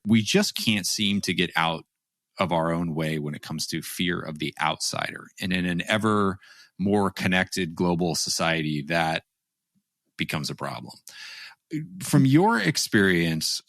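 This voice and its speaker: A man's voice: low-pitched, fairly bright tone, no room sound. A man hosting an interview podcast, introducing his guest and asking questions in an earnest, upbeat way. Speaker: podcast host.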